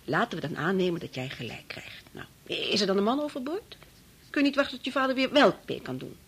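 Worried, reasonable wife, husky breathy voice, very lively intonation, questioning, soothing, hesitant, radio drama. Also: female voice, mid-range voice, a little fast, full character voice. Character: concerned, reasonable wife gently challenging her husband. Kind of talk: Dutch radio play acting.

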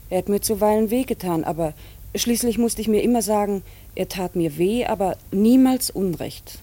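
Restrained, earnest daughter played by a woman, low-key. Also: dark tone, lightly acted. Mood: firm, devoted. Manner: restrained, halting, firm. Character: earnest, dutiful unmarried daughter, an art teacher. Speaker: actor in a radio drama. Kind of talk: German radio play dialogue.

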